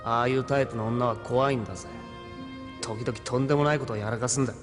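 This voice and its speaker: An actor playing a cocky, cynical, rough-spoken pilot who teases, in a Japanese sci-fi audio drama. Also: male voice, mid-range voice, steady tone, quick pace, close to an everyday voice. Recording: reverberant, faint music behind.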